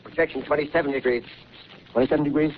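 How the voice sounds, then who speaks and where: An actor playing a disciplined artillery soldier calling out terse orders in a 1930s radio drama. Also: male voice, fairly high pitch, steady tone, very fast, natural voice. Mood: brisk, commanding. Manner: terse, barked military calls. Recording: muffled, noisy 1930s radio recording.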